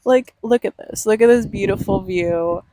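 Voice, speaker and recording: A woman's voice: mid-range voice, normal speed, dark tone, no echo. A young woman from Cuba talking candidly to camera outdoors by a beach, emotional and laughing often. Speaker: vlogger. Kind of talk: casual vlog to camera.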